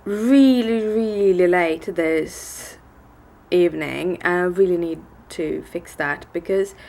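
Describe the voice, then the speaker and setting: A woman's voice: slow, vocal fry, dark tone. A woman in her thirties with a bad cold, chatting casually and a bit tiredly to the camera from her living room at home. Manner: rambling, tired, low-energy talk with restarts. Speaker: daily vlogger. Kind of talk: casual vlog chat. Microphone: camera on a desk in front of her.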